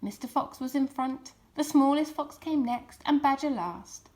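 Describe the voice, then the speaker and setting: A woman narrating a children's storybook in an expressive reading style. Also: sits high, measured pace. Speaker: storybook reader.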